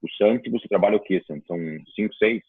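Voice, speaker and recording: A man hosting a casual discussion, thinking out loud with frequent pauses and restarts. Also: on the low side, breathy edge, no echo. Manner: hesitant, searching for words. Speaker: host, trainer. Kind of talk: casual video-call discussion. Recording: tinny phone-like call audio.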